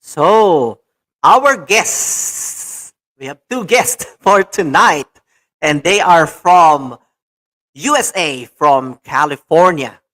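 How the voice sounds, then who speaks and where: A man's voice: on the high side, expressive, very slow, fairly bright tone. A man hosting an online talk show, enthusiastic and repetitive, with many fillers. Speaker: show host.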